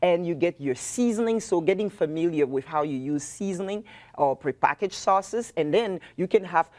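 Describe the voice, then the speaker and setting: Cameroonian woman, halting and warm. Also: lowish voice, expressive. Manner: warm, halting, many fillers. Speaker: restaurant owner and cooking guest. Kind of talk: studio interview.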